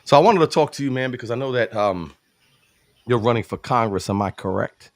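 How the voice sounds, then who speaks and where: A man's voice: medium pitch, animated, fairly quick. A man from New York hosting a casual interview show, hyping his guest, laughing often and calling him brother. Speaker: show host.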